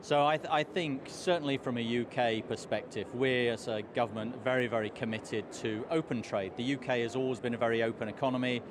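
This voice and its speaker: A hoarse man, a trade commissioner, answering interview questions in a measured way with many fillers. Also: mid-range voice, fairly even intonation. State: hoarse, losing his voice. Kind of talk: live outdoor interview answers. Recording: street noise behind.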